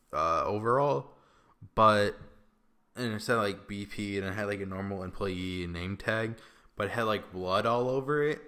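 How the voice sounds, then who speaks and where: A young man with a Midwestern accent answering questions in a relaxed, rambling vlog, with lots of ums and likes, in an echoey room. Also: fairly low pitch. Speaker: YouTuber. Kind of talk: casual Q&A vlog.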